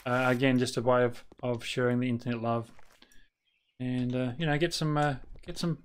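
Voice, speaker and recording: Aussie guy streaming a game, rambly, lots of ums, smooth clear voice, mid pitch. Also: fairly even intonation. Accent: Australian. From Australia. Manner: rambling and halting, many fillers and restarts, mutters at the game. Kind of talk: casual live-stream chat while playing.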